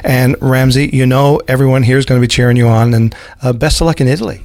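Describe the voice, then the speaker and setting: Male morning radio host, friendly and chatty, middle pitch. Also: steady tone, quick pace, darkish tone. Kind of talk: radio interview.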